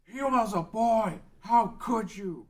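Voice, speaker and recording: A man's voice: high-pitched, slow pace, a little mellow. A man playing a proud, protective Goron warrior in an amateur fantasy table read. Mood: outraged. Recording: distant from the mic.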